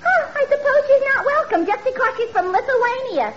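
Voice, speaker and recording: Naive man-hungry cashier, high animated voice, blunt, argumentative, 1940s radio comedy, noisy recording with big crowd. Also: normal speed. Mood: pushy, indignant. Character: dim, pushy, man-hungry woman cashier, easily offended. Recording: muffled noisy old radio recording, loud audience.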